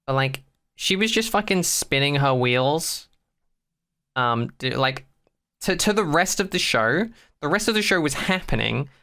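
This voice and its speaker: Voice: medium pitch, expressive, measured pace, pushed and bright. A podcast co-host chatting casually in a blunt, sweary, opinionated way. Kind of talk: casual chat.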